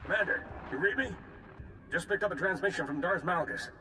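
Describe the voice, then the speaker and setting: A sharp-voiced comms officer. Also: male voice, sits high, a little fast, distant mic, slight background noise. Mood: reporting. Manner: clipped radio reports. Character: male Republic comms officer, quick and wry.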